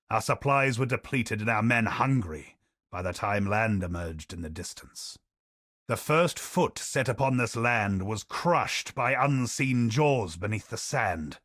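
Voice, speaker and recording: An actor playing a solemn male chronicler of a doomed colony, in a grave, measured manner of narrating, recorded dry. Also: on the low side, a bit bright, dry recording. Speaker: game character narrating lore. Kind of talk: scripted lore recitation.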